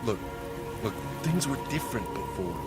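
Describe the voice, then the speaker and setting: An actor playing an anxious husband and father on the run in an audio drama, halting and protesting. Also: on the low side, big pitch swings, loud music behind the voice. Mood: uneasy, indignant.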